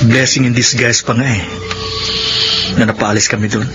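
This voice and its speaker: A man's voice: medium pitch, fairly even intonation, normal speed, close to an everyday voice. An actor playing a caring, loyal male friend in a Filipino radio drama, coaxing and affectionate. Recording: slightly dull audio, music bed.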